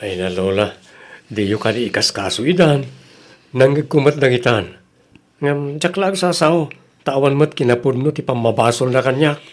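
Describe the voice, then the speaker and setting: Actor as humble, kindly grandson, mid-pitched. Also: male voice, moderate pace, close to an everyday voice. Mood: resigned. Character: humble, kindly grandson, patient under suspicion. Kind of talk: Ilocano radio drama acting.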